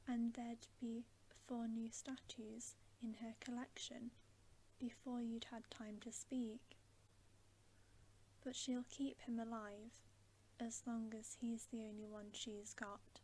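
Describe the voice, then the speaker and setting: A woman voicing a grave, wary male talking beaver in a hushed, whispered bedtime story reading. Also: on the high side, slight background noise, poor audio. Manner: hushed, whispered, deliberate warnings.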